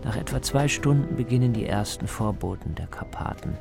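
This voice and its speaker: A man narrating a scripted radio travel feature as the reporter, in a measured, descriptive way. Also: medium pitch, expressive, vocal fry, over loud music.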